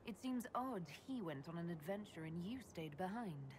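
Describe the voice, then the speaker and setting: An actress playing a composed, earnest heroine in a video game, speaking in a direct, questioning manner. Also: female voice, on the low side, lively intonation, normal speed, darkish tone, a little hiss or hum, lightly acted. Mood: curious, polite.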